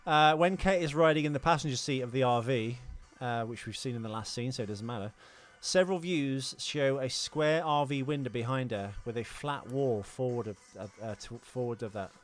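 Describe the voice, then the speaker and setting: A man's voice: medium pitch, moderate pace, few pauses. A British man co-hosting a film podcast, explaining things in an informal, jokey, conversational way. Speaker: podcast co-host. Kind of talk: casual film commentary chat.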